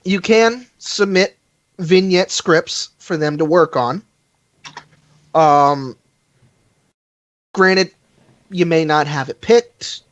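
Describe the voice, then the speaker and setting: A man's voice: a little high, extremely slow, long pauses, no echo, lo-fi sound. A man giving a casual podcast review over an internet call, chatty and rambling as he recommends things with enthusiasm. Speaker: podcast panelist reviewing a fan audio drama.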